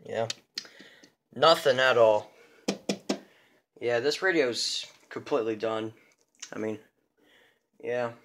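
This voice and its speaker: A man's voice: mid-range voice, slow pace, pauses often, noisy background. Casual, rambling man showing off gear. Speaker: vlogger showing a radio collection. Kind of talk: casual show-and-tell. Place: indoors in a room at home.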